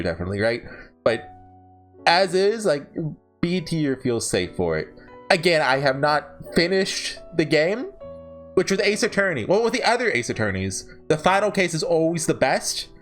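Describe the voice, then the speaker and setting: Animated, higher-pitched man rambling casually. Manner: rambling, opinionated, often self-correcting and seeking agreement with "right?". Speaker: visual novel reviewer. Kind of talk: casual unscripted ranking commentary.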